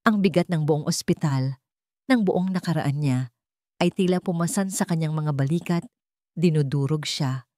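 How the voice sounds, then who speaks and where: Female narrator for dramatic fiction: low register, dry studio-clean sound, measured phrasing with weighty pauses. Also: warm tone, dry recording. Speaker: storyteller. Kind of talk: dramatic scripted story narration.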